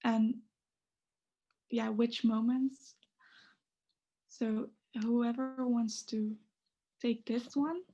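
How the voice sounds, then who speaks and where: A woman's voice: fairly high pitch, flat delivery, extremely slow, pauses often, mellow, muffled recording. A young woman moderating a casual video call chat, asking questions unhurriedly. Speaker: discussion moderator.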